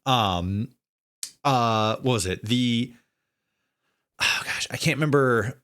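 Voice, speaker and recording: A man in a casual podcast conversation, speaking haltingly as he searches for words and repeats himself. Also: mid-range voice, a bit bright, smooth. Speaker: podcast guest, audio drama writer. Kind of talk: casual chat.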